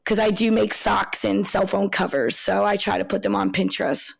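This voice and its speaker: A woman from Pennsylvania with a tri-state accent, talking candidly as a photographer guest in a relaxed interview, with frequent ums. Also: mid-range voice, normal speed, vocal fry. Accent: tri-state (Pennsylvania/Maryland area). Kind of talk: casual interview conversation.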